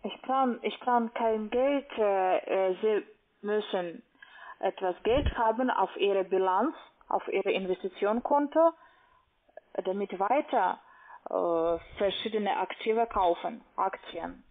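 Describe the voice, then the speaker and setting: Woman with foreign accent on tinny phone line. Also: mid-range voice. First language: not German. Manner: halting, searching for words. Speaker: call-center investment agent. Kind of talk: phone sales conversation. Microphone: telephone.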